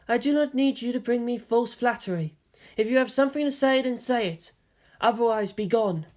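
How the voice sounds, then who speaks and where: A woman's voice: a little high, moderate pace, a little mellow, voice pushed up, lightly acted. A woman voicing a cold, fierce young female commander who talks in a curt, impatient, commanding way.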